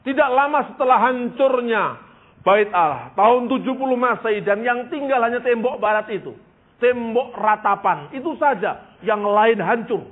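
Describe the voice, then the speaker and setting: High-pitched emphatic male preacher, tinny recording. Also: lively intonation. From East Java, Indonesia. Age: 50s. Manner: emphatic, repeats phrases, drawn-out stressed words. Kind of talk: sermon with Bible storytelling and history lesson. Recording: tinny, band-limited speech recording.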